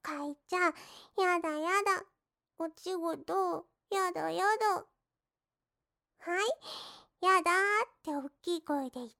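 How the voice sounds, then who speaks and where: A woman's voice: very high-pitched, a little slow, gravelly, pushed and bright, exaggerated voice. Woman playing a teasing baby-talk maid. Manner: sing-song baby talk with lisped endings. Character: young female maid, teasing and mocking her master. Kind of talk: roleplay audio drama.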